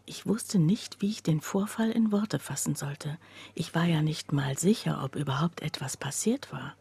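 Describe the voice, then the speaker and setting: An actress playing a troubled woman who recounts her own breakdown in a radio play, with a husky, breathy voice. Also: sits low, moderate pace, darkish tone, a little hiss or hum. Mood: uneasy, hushed. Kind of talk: scripted first-person radio play narration.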